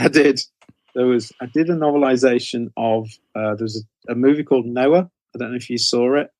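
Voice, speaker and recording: A British man in middle age chats as an interview guest, with a mid-pitched voice and a very dry recording. He tells anecdotes in a meandering way, often breaking off and restarting his sentences. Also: dry recording, low-quality recording. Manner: rambling, self-interrupting storytelling.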